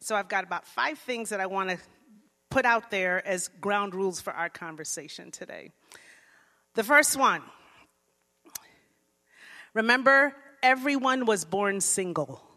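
A woman from Jamaica addresses a church congregation in a lively, direct way. Her voice is bright, and the long reverb of the sanctuary trails after each phrase. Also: medium pitch, unhurried, long pauses. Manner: lively, engages congregation, softens into prayer. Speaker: guest speaker. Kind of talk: church sermon talk. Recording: long reverb of a large hall.

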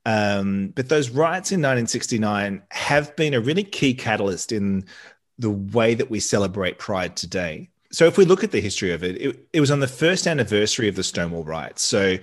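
A man's voice: fairly low pitch, sing-song, a little fast. A man from Australia co-hosting a casual podcast, telling stories and teasing his co-host with dry humor.

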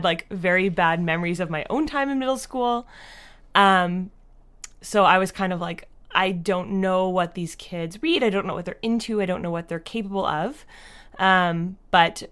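Woman on the lower side chatting in an interview, explains stuff thoughtfully, says like a lot. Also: clear, resonant tone. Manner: reflective, explanatory, frequent "like" as a verbal habit. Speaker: interview guest, audio drama writer. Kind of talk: casual interview talk.

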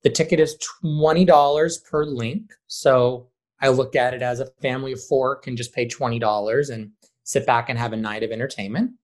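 A man answering interview questions conversationally as a high school theater director, stressing points by repeating words. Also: steady tone, normal speed, few pauses.

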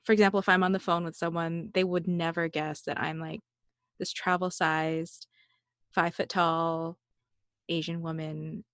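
Chatty host with a faint Filipino accent, dry audio. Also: female voice, fairly low pitch, little pitch movement, normal speed, fairly bright tone, no room sound. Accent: slight Filipino. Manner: chatty, goes on tangents, laughs often. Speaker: podcast host. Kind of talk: casual interview.

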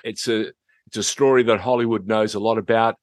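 A man's voice: fairly low pitch, steady tone, a little fast, dry recording. Mature man, dry close sound. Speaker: host reviewing TV series. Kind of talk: conversational review chat.

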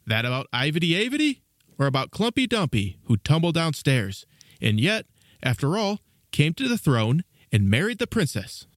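A man's voice: fairly high pitch, sing-song, moderate pace, no room sound, clean studio sound. A man voicing a jolly, playful little fat storyteller in a children's storybook. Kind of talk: dramatized children's storybook reading.

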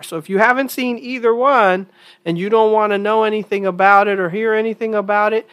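A woman's voice: lowish voice, a bit bright. A woman hosting her own opinion podcast in a casual, chatty monologue, sounding stuffy from a cold and repeating points for emphasis. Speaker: podcast host.

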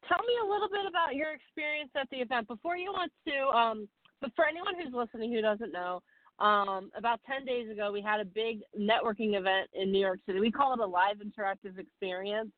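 A woman hosting a podcast interview in an excited, gushing manner. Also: a little high, brisk, no echo. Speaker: podcast host. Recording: muffled phone-line sound.

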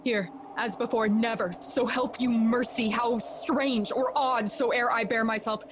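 A woman plays a grieving young prince in a Shakespearean audio drama. The voice is high and very deliberate, with impassioned theatrical phrasing. Faint ambient noise sits behind it. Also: steady tone, close to an everyday voice. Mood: solemn, intent. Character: grieving, feverish young prince sworn to vengeance.

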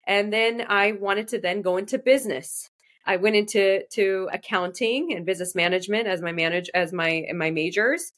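A woman's voice: medium pitch, fairly bright tone. A woman guest from Iraq, a dentist, talking casually in an interview and often restarting her sentences. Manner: fast, restarting, self-correcting speech.

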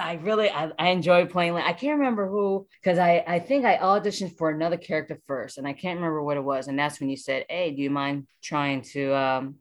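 A woman speaking casually as an interview guest, reflective and halting as she talks about her work. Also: on the low side. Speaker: voice actor guest.

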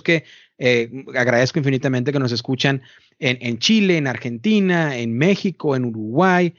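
A man's voice: a little high, dry recording. A man hosting a board game podcast in casual conversation, chatty and asking questions, often repeating words. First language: Spanish. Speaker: podcast host. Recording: dull, muffled dry audio.

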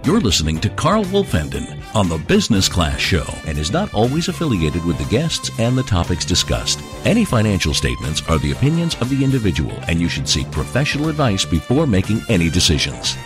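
A man reading a scripted show promo and disclaimer in a smooth, polished announcer style. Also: low voice, wildly swooping pitch, fairly quick, few pauses. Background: loud guitar music.

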